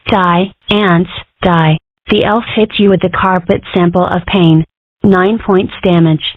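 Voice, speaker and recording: A woman's voice: fairly low pitch, steady tone, unhurried. Woman playing an earnest cartoon girl, muffled recording. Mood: playful. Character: opinionated, philosophical ten-year-old cartoon girl. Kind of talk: comic strip dub. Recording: muffled band-limited audio.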